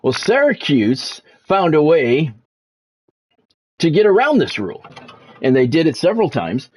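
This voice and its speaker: A man's voice: fairly high pitch, big pitch swings, a little slow, a little mellow, husky. A man hosting a livestream in a casual way, giving sports news and often stopping to repeat words and phrases. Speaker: livestream host, former radio play-by-play announcer.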